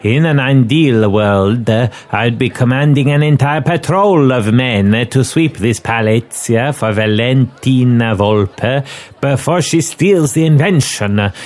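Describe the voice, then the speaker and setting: A man's voice: mid-range voice, unhurried, darkish tone, natural voice. Man playing a stern, formal foreign inspector. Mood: stern, weary. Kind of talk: comic audio drama read-through.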